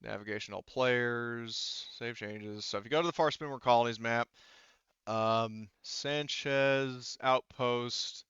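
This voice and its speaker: Smooth, halting man running a tabletop game. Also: mid-range voice, fairly bright tone, no room sound. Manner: halting, many restarts and "you know". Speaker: game master. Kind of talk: explaining tabletop game lore, casual. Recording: slightly dull, dry close mic.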